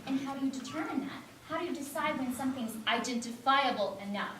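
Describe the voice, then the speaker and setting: A woman's voice: high-pitched, lively intonation, fast, a bit bright, faint music behind. A woman acting in a stage play as a frustrated, insistent young adoptee searching for her birth mother. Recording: echoey, noisy stage recording from far off.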